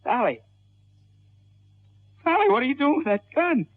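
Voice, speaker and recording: An actor playing a gullible, eager small-time crook in a mid-century radio crime drama, with a slangy, folksy, excitable manner. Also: male voice, extremely high pitch, normal speed, no room sound. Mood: pleading, terrified. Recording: tinny old radio recording.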